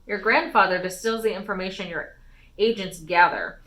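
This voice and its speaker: Woman voicing a stern, forceful warrior mother. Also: medium pitch, close to an everyday voice. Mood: firm. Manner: emphatic, deliberate, admonishing.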